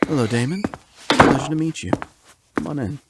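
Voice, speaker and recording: A man acting as a calm, patient doctor in an audio roleplay, speaking in a formal, measured and clinical manner. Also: on the high side, very noisy, voice pushed up, close to an everyday voice. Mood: courteous, professional. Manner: measured, polite, explanatory.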